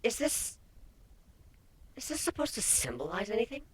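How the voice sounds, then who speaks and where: A man's voice: very high voice, wildly swooping pitch, moderate pace, pitched above the performer's own voice, exaggerated voice. A man voicing a playful, food-loving young female pony wife in a teasing, earnest storybook reading. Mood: curious, excited.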